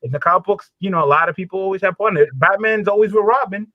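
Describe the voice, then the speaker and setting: A man's voice: sits high, quick pace, no echo. A man hosting a casual live chat in an easygoing, loosely phrased conversational way, with muffled sound.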